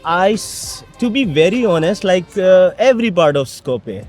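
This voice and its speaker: High-pitched Pakistani man chatting. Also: big pitch swings, moderate pace, darkish tone, soft background music. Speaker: passer-by being interviewed. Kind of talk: casual chat. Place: outdoors by a city bridge.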